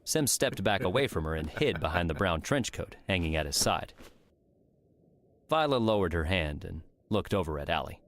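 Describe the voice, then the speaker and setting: A man's voice: low-pitched, expressive, moderate pace. A man reading scripted story narration as an audiobook narrator.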